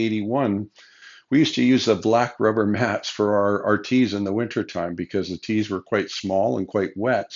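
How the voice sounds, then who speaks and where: A man in his sixties, hoarse from a cold, recalling his years as a golf course superintendent in an unhurried way, with many fillers and restarts. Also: on the low side, darkish tone. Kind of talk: casual spoken recollection to camera. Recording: dull lo-fi sound.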